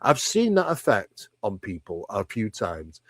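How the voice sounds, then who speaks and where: A man's voice: lowish voice, huge pitch swings, unhurried, dry recording, studio quality. A man speaking as an interview guest, explaining conversationally and emphatically, with rhetorical questions and repeated phrases. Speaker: interview guest, paranormal researcher.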